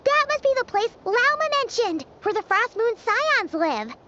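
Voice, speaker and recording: A woman's voice: very high-pitched, sing-song, a little slow, pushed and bright, a little hiss or hum, heavily acted. A woman voicing a tiny, cheerful, fairy-like girl companion in a video game, bubbly and a little boastful. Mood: excited. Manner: bubbly, boastful, speaks of herself in third person. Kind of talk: video game character dialogue. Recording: dull game stream audio under music.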